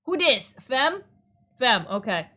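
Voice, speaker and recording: A woman's voice: on the high side, very animated, takes it slowly, pushed and bright. A playful, theatrical woman streaming a visual novel reading with chatty, exclamatory commentary. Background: music.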